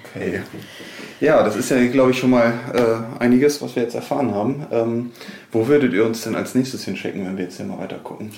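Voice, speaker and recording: A man's voice: medium pitch, fairly quick, a little mellow. A man hosting a casual on-location interview in an office. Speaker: podcast host interviewing staff. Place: indoors in an office. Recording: echoey room, clear background noise.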